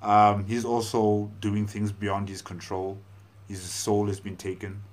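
Low-voiced man, casual commentary. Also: little pitch movement, a little slow. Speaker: reaction video host. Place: small room indoors. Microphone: lapel mic.

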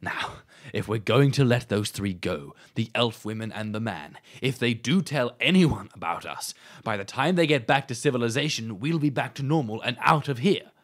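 A man's voice: medium pitch, animated, moderate pace, few pauses, close to an everyday voice. An actor playing a vain, self-important but polite insect-man leader with grand ambitions in an audio drama.

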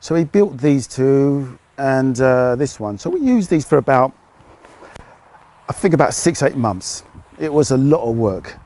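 A middle-aged British man, a fish farmer, explaining his work outdoors in a relaxed, hesitant way. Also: mid-range voice, measured pace. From United Kingdom. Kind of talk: casual interview answers.

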